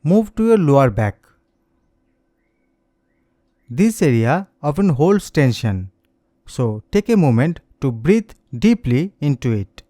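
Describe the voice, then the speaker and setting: A man leading a slow guided meditation as an instructor, speaking in a gentle, soothing, scripted manner. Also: fairly high pitch, sing-song, no room sound, clean studio sound.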